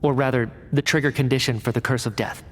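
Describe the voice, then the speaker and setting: An actor playing an analytical male researcher in a video game cutscene, explaining in a measured, cerebral way. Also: medium pitch, fairly even intonation, close to an everyday voice. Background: game score music.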